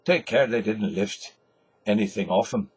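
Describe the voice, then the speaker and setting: Breezy jovial man, acted by an older male reader, loud, offhand, rough-voiced, dramatised novel. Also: fairly low pitch, normal speed, muffled recording. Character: cheery, breezy man taking his leave.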